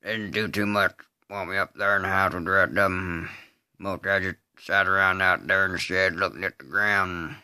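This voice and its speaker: A slow-witted country man from a film drama, confessing without remorse in a Southern US drawl. The voice is low, hard-edged and resonant. He speaks in flat, halting phrases, broken by grunted hums. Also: clear, resonant tone, dry recording, clean studio sound, full character voice. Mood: flat, matter-of-fact. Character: slow, simple-minded rural man confessing a killing, flatly unrepentant. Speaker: amateur voice artist. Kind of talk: dramatic monologue re-enactment of a film scene.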